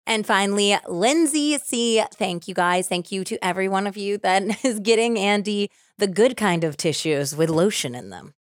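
A woman's voice: medium pitch, normal speed, hardly any pauses, dry recording, studio quality. A woman co-hosting a podcast, mixing casual chat with true-crime storytelling in a playful, teasing way. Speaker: podcast co-host.

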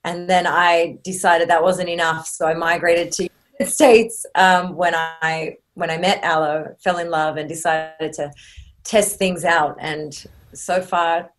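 A woman's voice: fairly low pitch, unhurried. Laughing woman telling stories. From Australia. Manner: laughs often, lively storytelling with self-deprecating asides. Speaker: guest. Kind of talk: casual podcast interview.

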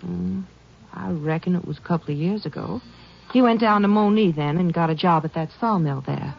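An actress playing a helpful, chatty young small-town telephone operator in a 1950s western radio drama, folksy and polite. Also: female voice, lowish voice, moderate pace, vocal fry. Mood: confiding. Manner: folksy, gossipy yet polite. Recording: muffled vintage radio recording.

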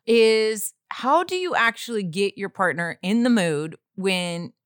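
A woman's voice: medium pitch, lively intonation, unhurried, dry recording, clean studio sound. A woman co-hosting a podcast, chatting casually in a bubbly, enthusiastic way and giggling often. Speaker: podcast co-host.

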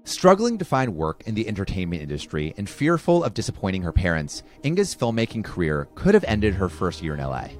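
Male host, very deep voice with lively swings in pitch, reflective interviewer. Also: a little fast, hardly any pauses, soft background music. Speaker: podcast host. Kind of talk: interview hosting and scripted intro.

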